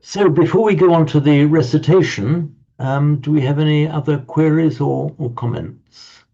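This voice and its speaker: A man's voice: on the high side, moderate pace, vocal fry, warm tone, dull audio. An older man teaching a language class, playfully pedantic and prone to stumbling repetitions.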